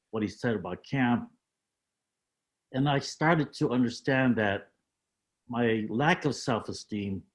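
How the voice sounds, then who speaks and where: Halting older man recalling his past. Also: medium pitch. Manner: measured, halting, with many fillers. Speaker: panel guest. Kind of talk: reflective storytelling on a panel.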